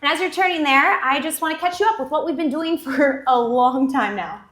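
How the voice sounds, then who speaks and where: High-voiced woman preaching playfully. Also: moderate pace, fairly bright tone. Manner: playful, self-deprecating storytelling. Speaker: pastor. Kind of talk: bilingual sermon, preaching in English. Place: church stage before a congregation.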